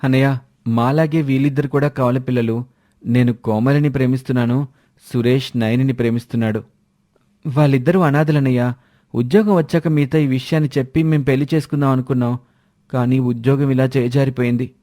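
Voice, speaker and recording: A man's voice: medium pitch, fairly even intonation, brisk, mellow, lightly acted. A man voicing an eager, respectful younger brother who talks earnestly in a family story. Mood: confessing.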